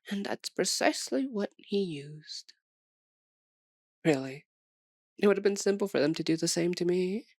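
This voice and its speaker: Woman acting as a slow, low-voiced sadistic vampire mistress. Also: no echo, studio quality. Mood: cold, scornful. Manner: slow, theatrical, silky and menacing, often dropping to a whisper. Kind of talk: ASMR roleplay voice acting.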